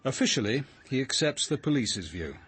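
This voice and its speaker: An actor playing a grave, measured male detective in a radio drama, speaking in an understated, literary way. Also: medium pitch, very animated, a bit bright, husky, full character voice. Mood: dry, reserved. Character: grave, measured male detective narrating his own ordeal. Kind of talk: first-person radio drama narration and dialogue.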